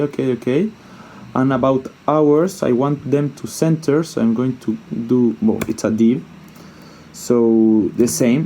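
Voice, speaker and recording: Male tutorial presenter, soft mellow tone, informal and hesitant, thinks out loud as he demonstrates. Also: medium pitch, slight background noise, rough recording. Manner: informal, hesitant thinking aloud, repeats himself, mild swearing. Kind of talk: casual unscripted screen-share coding tutorial.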